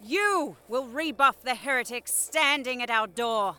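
Woman playing a fierce, high-voiced commissar. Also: measured pace, clean tone. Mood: commanding. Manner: forceful, declamatory. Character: fierce, commanding female army commissar. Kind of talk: war audio drama acting.

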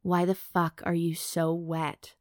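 A commanding, taunting woman as a female narrator plays her in an audiobook style. She is hard-toned and stern, on the lower side, recorded dry. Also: monotone, slow, no room sound.

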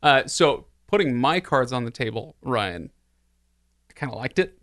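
A man with a smooth, clear voice chats casually as a podcast co-host. He hesitates and corrects himself, recorded close with almost no room sound. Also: medium pitch, animated, a little slow, fairly bright tone, no room sound. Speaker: podcast co-host discussing a film. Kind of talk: casual chat.

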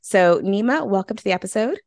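A woman's voice: mid-range voice, expressive, a little fast, darkish tone, no echo. A woman speaking conversationally as the host of an interview podcast.

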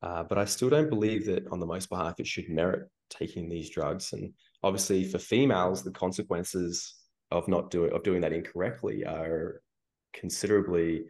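A man's voice: low voice, normal speed, a little mellow. A man co-hosting a podcast, giving his opinions in a wry way with joking asides. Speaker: podcast co-host. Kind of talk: casual podcast chat.

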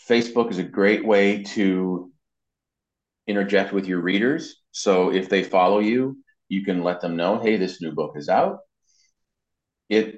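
A man speaking conversationally as an interview guest, explaining social media marketing practice. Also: sits low. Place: indoors. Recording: muffled, band-limited, roomy echo.

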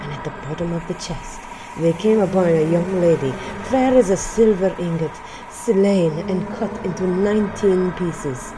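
A woman's voice: lowish voice, normal speed, few pauses, background noise. A woman narrating an audio drama in a scripted, archaic storybook style.

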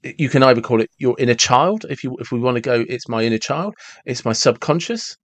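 A lively man in his forties, thinking aloud in casual conversation and tripping over repeated words as he works through an idea. The sound is close and dry, with almost no room tone. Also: medium pitch, a little fast, no room sound. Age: 40s. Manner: animated, restarts and repeats words when reasoning aloud. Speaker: podcast host.

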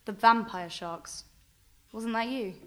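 A woman's voice: fairly high pitch, slow. A woman voicing a sardonic, unimpressed adventurous heroine in a radio play, talking in a dry, mocking way.

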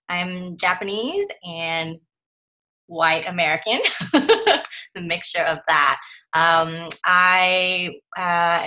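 A woman's voice: lowish voice, pushed and bright, low-quality recording. A woman guest introducing herself, hesitant and giggly with many fillers. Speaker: podcast guest. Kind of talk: casual podcast chat.

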